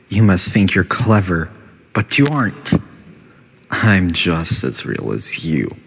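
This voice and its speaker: Man acting as video game AI overseer, male, lowish pitch, very slow and measured, condescending, passive-aggressive. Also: full character voice. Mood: pleading, bitter. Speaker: test chamber announcer and antagonist. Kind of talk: scripted video game voice lines.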